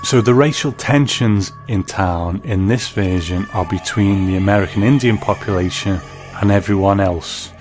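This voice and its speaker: A man's voice: on the low side, moderate pace, darkish tone. A man giving scripted review commentary as a narrator. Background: music bed.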